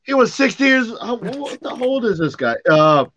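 A man's voice: very high voice, sing-song, moderate pace, a bit bright. Opinionated man, slightly muffled recording. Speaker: podcast co-host. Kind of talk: casual, opinionated discussion.

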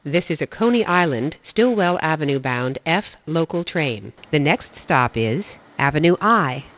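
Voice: medium pitch, no echo. Automated-sounding transit announcer, lo-fi. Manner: flat, measured, evenly paced phrasing. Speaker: train announcer. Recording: dull lo-fi audio.

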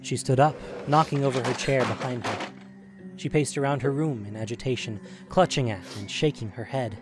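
A man's voice: medium pitch, normal speed, over music. A man narrating an audio drama, reading scripted prose in a dramatic storytelling style.

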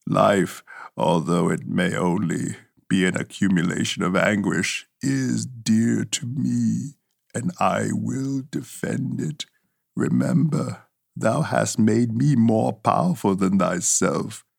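A man voicing a slow, gravelly brooding creature. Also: no echo, clean studio sound, heavily acted. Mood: pleading. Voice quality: gravelly, husky. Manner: slow, low, heavy pleading. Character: anguished male creature, huge and brooding.

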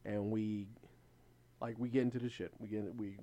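A man co-hosting a podcast, chatting casually through a recap in an informal, halting way as he searches for words. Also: lowish voice, flat delivery, dark tone, dry recording, dull audio. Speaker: podcast co-host. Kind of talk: casual chat, episode recap.

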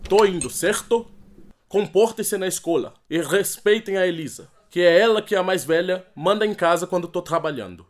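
A man's voice: high voice, normal speed, close to an everyday voice. A man with a Brazilian accent playing a firm, caring working father in a radio drama. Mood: firm, fatherly.

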